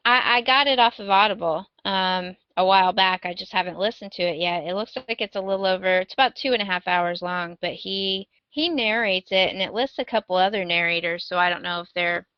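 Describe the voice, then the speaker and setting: Female podcast co-host, medium pitch, informal conversational delivery, recorded dry with slightly lo-fi tone. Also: a little fast, few pauses, clear, resonant tone, no echo. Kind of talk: casual podcast chat with recommendations.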